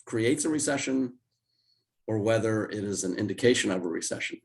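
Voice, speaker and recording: An older man with a hoarse, raspy voice while recovering from illness, explaining market charts as a guest on a video call. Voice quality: raspy, deeper than usual. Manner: measured, explanatory, with restarts. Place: home office indoors.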